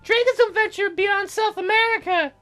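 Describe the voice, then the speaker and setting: A man's voice: extremely high pitch, normal speed, pushed and bright, faint music behind, pitched above the performer's own voice. A man voicing a perky, cheeky teenage cloud fairy girl with a sassy, casual, boastful way of talking, for a video game. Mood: matter-of-fact.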